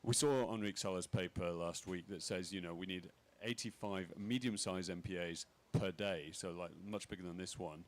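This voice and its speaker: A very deep-voiced man giving remarks at a conference panel. He talks in a halting way, with lots of "um"s and restarts as he works through his points. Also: fairly even intonation. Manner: halting, many fillers and restarts. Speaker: panel speaker. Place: conference pavilion.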